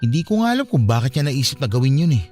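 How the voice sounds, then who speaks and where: Actor as earnest young man, low confiding voice. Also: sing-song, fast talker, a little mellow, close to an everyday voice. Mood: confiding, troubled. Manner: conversational, confessional. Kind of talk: radio drama dialogue. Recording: music behind the voice.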